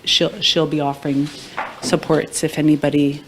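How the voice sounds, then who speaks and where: A woman moderating a press conference, making careful, gentle announcements with fillers. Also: sits low, little pitch movement, normal speed, a little hiss or hum, rough recording.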